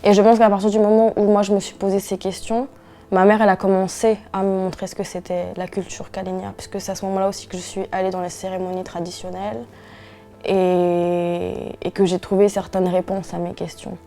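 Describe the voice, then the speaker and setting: Young woman from french guiana, earnest interview talk, warm voice, flat steady delivery, soft music behind. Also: mid-range voice. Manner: earnest, measured, with frequent restarts and hesitations. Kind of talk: interview testimony.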